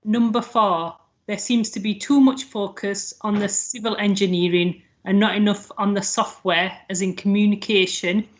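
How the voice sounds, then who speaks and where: Meeting chair, woman, mid-pitched, measured and reading aloud; muffled laptop audio. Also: fairly even intonation. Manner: reads out questions, measured, some fillers. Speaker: panel chair. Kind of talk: chairing an online council meeting. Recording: dull lo-fi video call audio.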